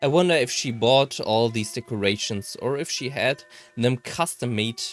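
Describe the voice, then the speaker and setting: A narrator reading a first-person visual novel story aloud in a measured delivery. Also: mid-range voice, animated, faint music behind.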